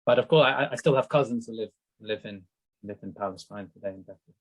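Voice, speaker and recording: Male panelist, measured and exact, dry recording. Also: fairly low pitch, husky, dry recording. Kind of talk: panel discussion.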